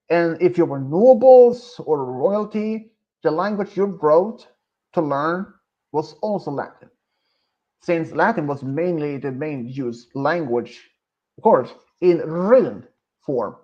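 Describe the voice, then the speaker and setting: Slow, halting Swedish-accented man. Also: on the high side. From Sweden. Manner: hesitant and rambling, with many pauses and fillers. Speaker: streamer. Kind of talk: casual live-stream chat. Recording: dull, lo-fi streaming mic.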